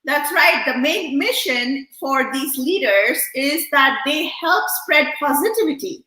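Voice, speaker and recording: High bright-voiced woman co-host. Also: expressive, unhurried. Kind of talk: hosting an interview. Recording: echoey room.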